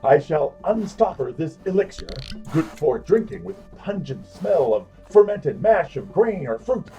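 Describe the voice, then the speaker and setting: A drunken fantasy tavern rogue for an audio drama. His voice sits fairly high and is very soft-edged, with music behind it. He talks in pompous, flowery archaic turns, always wry and scheming. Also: big pitch swings, measured pace.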